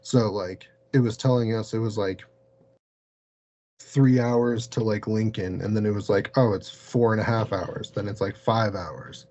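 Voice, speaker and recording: Low-voiced man telling a story, muffled sound. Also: fairly even intonation, a little mellow. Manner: halting, heavily peppered with "like". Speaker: podcast guest, paranormal investigator. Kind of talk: casual conversational storytelling.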